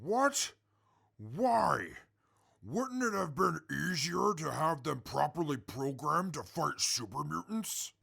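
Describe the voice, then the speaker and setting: Snooty super mutant, very croaky raspy voice, slow proper talk, audio drama. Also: male voice, fairly high pitch, very animated, bright, forceful tone, exaggerated voice. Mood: disapproving, puzzled. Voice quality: gravelly, rough. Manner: stiff, formal, superior. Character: haughty, disapproving super mutant prisoner. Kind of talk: post-apocalyptic audio drama acting.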